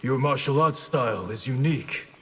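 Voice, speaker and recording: An actor playing a composed, inquisitive martial artist in a fighting game, speaking formally. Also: male voice, medium pitch, normal speed, lightly acted. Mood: calm, probing. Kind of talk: fighting game character dialogue. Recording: long reverb, clear background noise.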